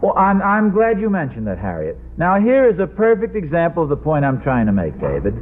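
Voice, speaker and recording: An affable, slightly pompous suburban dad in an old radio comedy. He has a lightish voice with lively, rising and falling pitch and a mild, halting delivery, heard through a noisy narrow-band broadcast. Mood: mild, moralizing. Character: affable, mildly pompous, hesitant suburban father. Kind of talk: 1940s-50s radio sitcom acting.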